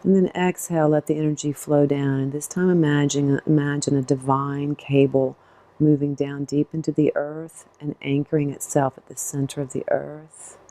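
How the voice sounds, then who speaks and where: Female meditation teacher, notably low and warm tone, gentle off-the-cuff instruction, reassuring delivery. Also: level tone, moderate pace.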